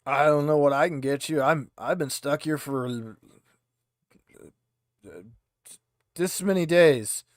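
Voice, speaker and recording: A man's voice: a little high, slow, long pauses, dry recording, studio quality. A man voicing a drunk, grumbling female pilot at a bar in a tabletop game, hesitant and boozy. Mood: tipsy, griping.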